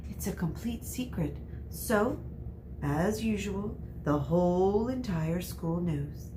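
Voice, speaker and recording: A woman voicing a calm, kindly elderly male wizard headmaster from a children's novel in a gentle, soothing manner. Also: lowish voice, slow, noisy background. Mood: calm, reassuring. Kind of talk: children's novel read aloud.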